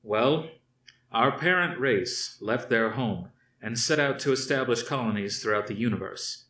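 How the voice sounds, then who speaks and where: A man reading aloud, voicing a stern older fleet commander who speaks slowly and firmly to his officers. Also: mid-range voice, animated, a bit bright, echoey room, far from the mic, slight background noise. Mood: explaining. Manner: slow, measured, commanding.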